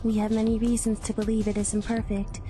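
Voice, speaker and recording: A woman playing an emotionless teenage alien girl in an anime fan dub, speaking in a formal, deadpan way. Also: fairly high pitch, fast talker, soft background music, close to an everyday voice. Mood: flat, detached. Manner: flat, deadpan, formal technical phrasing.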